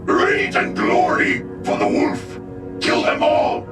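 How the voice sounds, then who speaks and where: A man playing a fierce, proud armoured wolf-brotherhood warrior in a video game, speaking in gravelly, solemn battle declamation. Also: takes it slowly, lightly acted. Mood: battle fury. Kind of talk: dramatic video game acting. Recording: echoey, distant, under very loud music.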